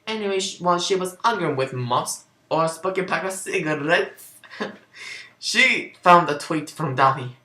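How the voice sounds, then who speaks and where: Woman doing a sarcastic dramatic reading w/ ad-libbed asides, lowish bright voice, echoey home recording. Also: lively intonation, unhurried. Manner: breaks off into sarcastic asides and laughter. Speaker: amateur reader of fan fiction. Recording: roomy, echoing home recording.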